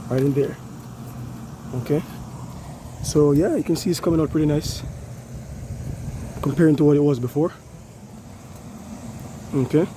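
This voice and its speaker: A hoarse man who has lost his voice, casually explaining an air conditioner cleaning while he works outdoors. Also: on the high side, unhurried, long pauses, noisy background. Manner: loose, repetitive, talks while working. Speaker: HVAC technician. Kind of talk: casual how-to demonstration.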